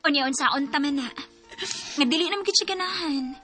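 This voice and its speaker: An actress playing a blunt, nagging but encouraging young best friend in a radio drama, with a Cebuano Filipino accent. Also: female voice, high voice, a little fast, sharp tone, faint music behind. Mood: scolding.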